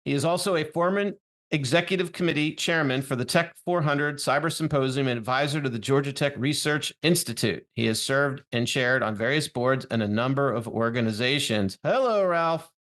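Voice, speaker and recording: A man's voice: mid-range voice, normal speed, a bit bright. A man co-hosting a podcast with an everyday mid-range voice. He moves between a scripted self-introduction and dry, teasing banter with his co-host.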